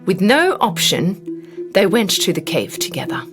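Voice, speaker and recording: A woman narrates a fairy tale for children with clear music playing underneath. Her delivery is expressive and dramatic, with a very animated melody in a medium-pitched voice. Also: measured pace. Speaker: storyteller. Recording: music behind the voice. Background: background music.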